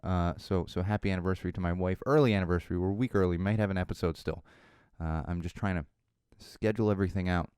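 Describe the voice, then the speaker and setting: A man in his thirties from Southern California, hosting a casual solo podcast, a bit hoarse, rambling and self-deprecating, with lots of fillers and restarts. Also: low voice, fast talker, few pauses, a little mellow, no echo, clean studio sound. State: slightly hoarse. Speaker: podcast host.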